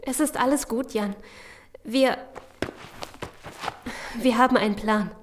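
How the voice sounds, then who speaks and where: An actress playing a determined, caring survivor in an audio drama, urgent and persuasive. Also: female voice, on the high side, slow. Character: determined, caring female survivor pushing escape. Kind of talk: German audio drama acting.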